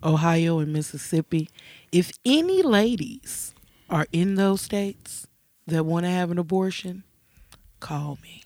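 A woman's voice: lowish voice, animated, unhurried, dark tone, no echo. A woman co-hosting a podcast, blunt and matter-of-fact in casual chat. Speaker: podcast co-host.

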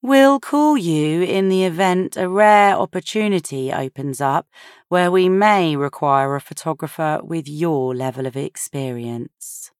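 A woman's voice: sits low, measured pace, clear, resonant tone, no echo, studio quality, voice pushed up. A woman voicing an airy, condescending and dismissive booking agent in an audiobook.

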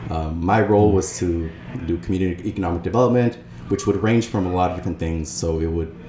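A man's voice: low-pitched, fast talker, warm tone. A young man, a native Spanish speaker, giving earnest, explanatory answers as an interview guest. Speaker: interview guest, Peace Corps volunteer. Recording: echoey room with clear background noise.

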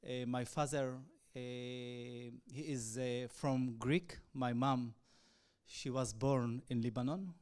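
A man from Israel, a native Arabic speaker, telling his personal testimony in hesitant, halting English full of fillers. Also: medium pitch, fairly even intonation, a little mellow, clean tone. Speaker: evangelist.

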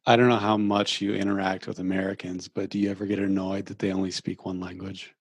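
A man's voice: deep voice, flat delivery, fast, breathy edge, dry recording. A man hosting a podcast, asking casual, brief questions with frequent "like". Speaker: podcast host.

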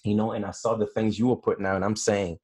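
Low-voiced young man hosting a chat. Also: level tone, fast talker, a little mellow, breathy edge. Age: 20s. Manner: friendly, encouraging. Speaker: host. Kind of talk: casual interview.

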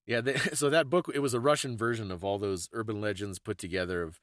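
A man's voice: lowish voice, fairly even intonation, fast, fairly bright tone, no echo. Man explaining things in casual podcast chat. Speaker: podcast host.

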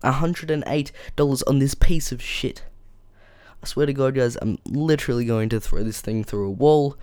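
A man with a croaky, flu-worn voice talks through a casual solo podcast, drifting between ums and exasperated rants. His tone stays smooth and resonant on a close boom-arm microphone. The foam-lined room sounds completely dry. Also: normal speed, a little mellow, no room sound. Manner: rambling, many ums, frustrated rants. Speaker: podcast host. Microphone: boom-arm studio microphone.

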